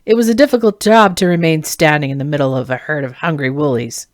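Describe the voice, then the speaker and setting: A woman narrating a fantasy novel as its author, in an expressive storybook reading style. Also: deep voice, fast, no echo, studio quality.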